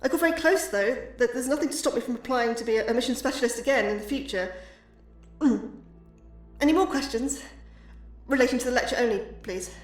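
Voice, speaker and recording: A woman acts an earnest, anxious academic in a scripted audio drama. Her voice is on the high side and proud in manner, with a light music bed. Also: a little fast, lightly acted. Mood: measured, guarded.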